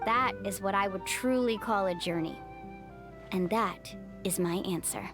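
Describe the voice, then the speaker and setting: A woman's voice: medium pitch, a little slow, lightly acted. A woman voicing a confident, friendly young guide in a video game, speaking in an assured, encouraging way. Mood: earnest. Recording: game music behind the voice.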